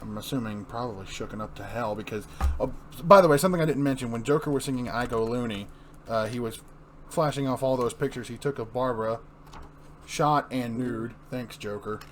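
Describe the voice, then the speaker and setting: A man's voice: medium pitch, a little fast, slight background noise. A man narrating and commenting casually on a comic as part of a dramatic reading. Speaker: reviewer and reader.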